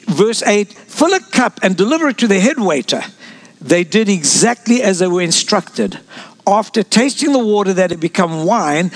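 High-voiced preaching pastor, man. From South Africa. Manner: preaching with frequent amens and asides to the congregation. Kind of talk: sermon.

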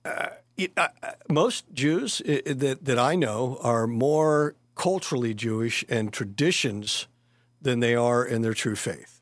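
A pastor in his early sixties talks through his thoughts slowly, with pauses and restarts. His voice comes across dry and close. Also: mid-range voice, no room sound. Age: 60s. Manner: hesitant, many fillers and restarts. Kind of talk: casual interview conversation.

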